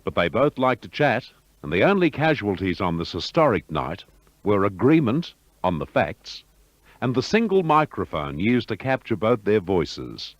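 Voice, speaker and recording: Man, radio documentary narrator, low lively voice, dry dull lo-fi sound. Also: moderate pace, no room sound. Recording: dull lo-fi broadcast audio.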